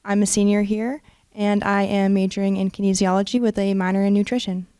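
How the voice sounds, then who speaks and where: A woman's voice: medium pitch, level tone, brisk, mellow, clear, resonant tone, dry recording, clean studio sound. A woman in her early twenties from Ohio with an American accent, speaking informally as a student panelist. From Ohio, United States. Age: early 20s.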